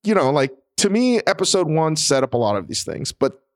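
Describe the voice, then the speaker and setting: A man's voice: fairly high pitch, very animated, fairly quick. A man co-hosting a podcast, chatting casually about a TV show, rambling with frequent restarts and swearing. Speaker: podcast co-host.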